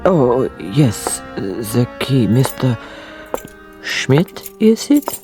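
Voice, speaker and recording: An actress playing a wary, subdued German innkeeper haunted by the war, deferential and halting, in radio drama style. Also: female voice, rumbling low, very animated, music in the background, full character voice. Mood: nervous. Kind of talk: old-time radio drama acting.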